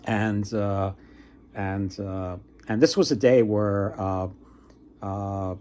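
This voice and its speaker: A man telling folktales casually as a book vlogger, conversational and unscripted, halting and rambling as he goes. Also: fairly low pitch, fairly even intonation, mellow.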